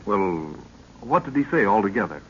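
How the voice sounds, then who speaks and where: An actor playing a keen, respectful young assistant in an old radio adventure serial, straightforward and deferential. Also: male voice, on the low side, big pitch swings, normal speed, a bit bright, breathy edge, heavily acted. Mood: curious. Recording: muffled, noisy old-time radio recording.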